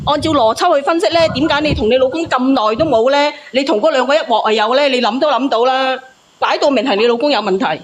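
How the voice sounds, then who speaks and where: Fast, high-pitched, blunt woman. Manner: blunt, interrogating, emphatic. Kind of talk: argumentative live debate. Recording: clear background noise, clicks.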